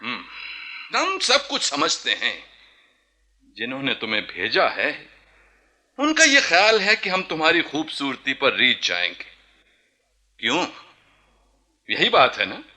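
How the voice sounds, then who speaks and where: A suspicious young Nawab of a historical radio drama, with a bright, forceful voice on the high side. He speaks slowly and regally, with wide swings of pitch as he commands. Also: heavily acted. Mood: taunting, suspicious. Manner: theatrical, measured, regal. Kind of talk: Hindi historical radio play acting.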